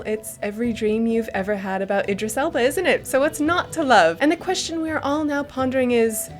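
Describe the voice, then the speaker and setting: A woman co-hosting a gaming news show, wry and playfully emphatic, moving between narration and casual banter. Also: a little high. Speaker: show co-host.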